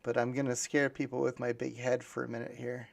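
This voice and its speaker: A man hosting a casual conversational interview, musing aloud and speaking haltingly in fragments. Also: mid-range voice, flat delivery, gravelly. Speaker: podcast host.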